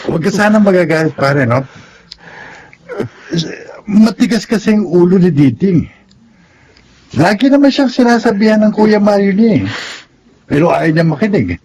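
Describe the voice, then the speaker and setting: Casting: earnest advising pal, high male voice, animated radio soap delivery. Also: moderate pace, pauses often, a little hiss or hum. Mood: concerned. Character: concerned drinking buddy, gently advising, gossipy. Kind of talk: Tagalog radio drama acting.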